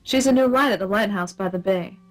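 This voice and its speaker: A woman's voice: mid-range voice, brisk, faint music behind, lightly acted. A woman acting a plucky, bargaining secret agent in a comic radio play. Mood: earnest, pleading.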